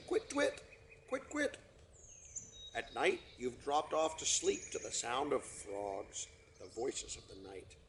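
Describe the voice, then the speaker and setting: A man's voice: a little high, vocal fry, a little hiss or hum, full character voice. A man voicing a pompous, long-winded father trumpeter swan who makes grand speeches to his children.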